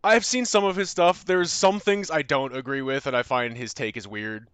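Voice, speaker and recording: A man's voice: mid-range voice, normal speed, fairly bright tone, clear, resonant tone, no room sound. A young Canadian man with a Canadian accent, chatting casually with his stream viewers in a rambling, loose way with plenty of fillers and casual swearing. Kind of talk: casual chat with viewers while gaming.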